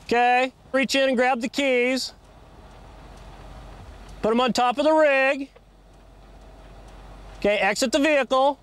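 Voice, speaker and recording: A male police officer talking plainly and barking slow loud commands at a night traffic stop. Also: extremely high pitch, steady tone, clean tone. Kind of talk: field commands and casual police talk. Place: outdoors at night on a roadside. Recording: noisy outdoor field audio.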